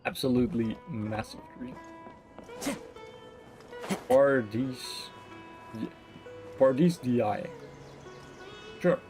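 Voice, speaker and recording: A game streamer talking casually through live play. The voice is mid-pitched and mumbly, with playful slang, over faint background music. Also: expressive, slow pace, long pauses, a little mellow. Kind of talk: casual live gaming commentary.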